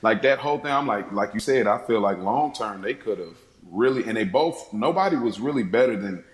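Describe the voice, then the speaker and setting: The sound is roomy, with a clear echo around a man's fairly low voice. He talks casually and conversationally, as in an unscripted film discussion. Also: expressive, brisk.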